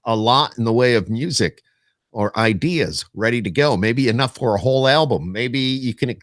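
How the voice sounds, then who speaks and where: A man's voice: medium pitch, lively intonation, normal speed, no room sound, clean studio sound. A man hosting a casual remote interview, talking in an informal, rambling and self-correcting way. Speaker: podcast host, radio interviewer.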